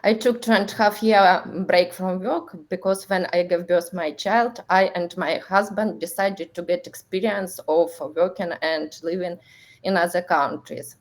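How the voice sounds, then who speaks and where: A woman from Ukraine, telling her story plainly and steadily on a panel. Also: lowish voice.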